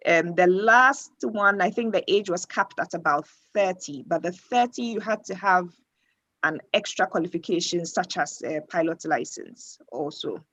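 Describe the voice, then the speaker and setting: A woman explaining things off the cuff in a panel discussion, pausing to find her phrasing. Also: on the low side, expressive, a bit bright. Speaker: air traffic controller answering questions.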